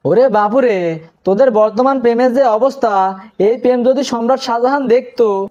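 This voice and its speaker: Cartoon granddad played by a man: old, cheeky, teasing, extremely high voice, dull lo-fi sound. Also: moderate pace, mellow. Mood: wisecracking. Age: older. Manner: exclamatory, joking, repeats a catchphrase. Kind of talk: scripted cartoon comedy.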